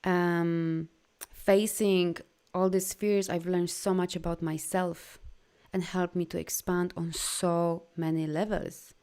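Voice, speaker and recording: A woman's voice: on the low side, a little mellow. A middle-aged woman with a Polish accent, talking earnestly as a life coach in a live video. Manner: earnest, heartfelt, halting non-native phrasing.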